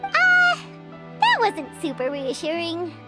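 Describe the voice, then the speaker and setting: A woman voicing a small, childlike, excitable girl companion in a video game, with a squeaky voice. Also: takes it slowly, full character voice. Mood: uneasy. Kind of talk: video game character acting. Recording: music behind the voice.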